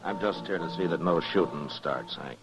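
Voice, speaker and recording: An actor playing a calm, authoritative Old West marshal, measured and firm, with an American accent in a 1950s radio drama. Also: male voice, sits low, music in the background, natural voice. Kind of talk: 1950s radio western acting. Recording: dull, noisy vintage radio recording.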